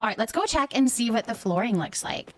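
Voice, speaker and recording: A woman's voice: on the high side, animated, a little fast. A woman livestreaming a game, chatting casually with viewers and thinking aloud as she goes.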